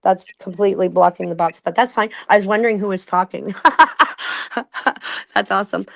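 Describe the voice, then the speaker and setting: A woman in a casual livestream discussion, thinking aloud with many restarts and reading passages out slowly. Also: medium pitch, vocal fry, fairly bright tone.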